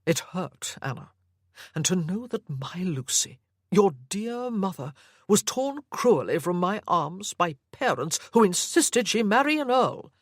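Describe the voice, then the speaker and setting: A woman reading the part of an obsessive older gentleman who has kidnapped his supposed daughter. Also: fairly low pitch, big pitch swings, a little slow, no echo, studio quality. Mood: possessive.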